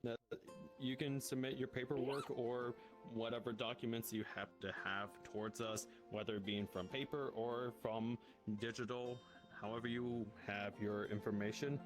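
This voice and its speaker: Medium-pitched man, wordy, over clear music. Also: steady tone, normal speed. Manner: explanatory, wordy. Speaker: role-player as a guild guide. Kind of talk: improvised in-character chat in online role-play. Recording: guitar music behind the voice. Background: guitar music.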